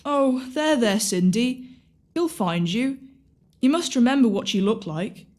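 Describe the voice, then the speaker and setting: A woman's voice: a little high, expressive, unhurried, darkish tone. A woman playing a daft, cheery, loyal servant sidekick in an audio pantomime, comically dim and exaggerated. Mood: comforting.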